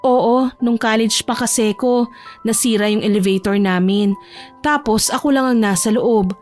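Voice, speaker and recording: A woman's voice: fairly high pitch, normal speed, a little mellow, faint music behind, natural voice. A woman with a Filipino accent voicing a timid, insecure, emotional young woman in an audio romance drama. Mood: hurt, subdued.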